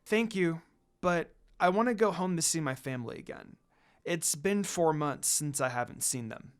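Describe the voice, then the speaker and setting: A man's voice: on the high side, normal speed, clean tone, close to an everyday voice. A man voicing a heartbroken, earnest young priestess in a dramatic story reading. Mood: grateful.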